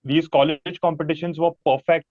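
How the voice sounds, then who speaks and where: A man's voice: fairly high pitch, fairly even intonation, normal speed, no echo. Phone-like, rambling Indian man chatting. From Mumbai, India. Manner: rambling, enthusiastic, informal. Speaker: musician, band member being interviewed. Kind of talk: casual chat. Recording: thin phone-like sound, fairly dry.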